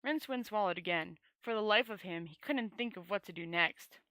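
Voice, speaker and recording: Bright-voiced woman reading a story aloud, halting. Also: mid-range voice, no room sound. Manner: halting, often stumbling and correcting herself. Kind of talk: amateur read-aloud audiobook narration.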